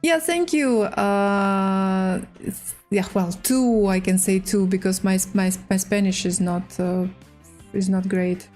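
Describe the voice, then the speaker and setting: A woman with a Russian accent, a native Russian speaker, hosting a casual chess livestream in halting, hesitant English. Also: mid-range voice, a little mellow, soft background music. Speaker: livestream host and chess player. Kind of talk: casual livestream chat while playing chess.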